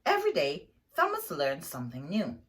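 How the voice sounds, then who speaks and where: A woman reading a children's picture book aloud in an animated, expressive way, pausing to explain the story simply to young listeners. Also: low voice.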